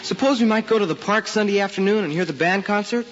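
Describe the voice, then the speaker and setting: Man as polite American schoolboy, high voice, earnest and hesitant, radio play, muffled reverberant recording. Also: fast, music in the background, natural voice. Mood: eager, polite. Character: earnest, polite schoolboy son playing matchmaker. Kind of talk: old-time American radio drama acting.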